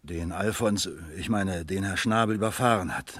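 A man's voice: deep voice, normal speed, fairly bright tone, dry recording. An actor playing a nervous, evasive middle-aged man under police questioning in a 1970s radio crime play. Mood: defensive. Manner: defensive, hesitant. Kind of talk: 1970s German radio crime drama acting.

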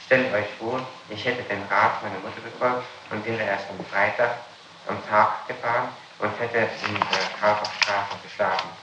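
Male interrogator, low register, halting formal delivery, reverberant room on old reel recording. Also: fairly even intonation. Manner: halting, stumbling when reading aloud. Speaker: police or judicial interrogator. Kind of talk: formal interrogation, reading documents aloud. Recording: echoey, very noisy archival interrogation tape.

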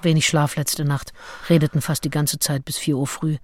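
A woman's voice: deep voice, little pitch movement, normal speed, background noise, close to an everyday voice. An actress playing a passionate, self-questioning Englishwoman of the early 1800s who confides her diary in an intimate, introspective way in a radio play. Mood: confiding. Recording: music behind the voice. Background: music with drums.

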